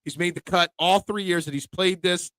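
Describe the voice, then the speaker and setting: Bright, forceful man hosting sports talk. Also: sits high, steady tone, moderate pace, smooth, no room sound. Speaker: sports betting show host. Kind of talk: casual sports preview chat.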